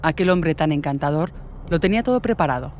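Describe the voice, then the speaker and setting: Higher-pitched male documentary narrator. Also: expressive, fast, a little mellow, slight background noise.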